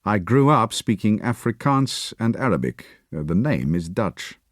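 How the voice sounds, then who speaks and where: A guarded spy in his thirties, voiced by a male narrator, answering calmly and tersely in a low, close voice. He gives nothing away, recorded dry. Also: measured pace, no room sound. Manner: terse, evasive, calm.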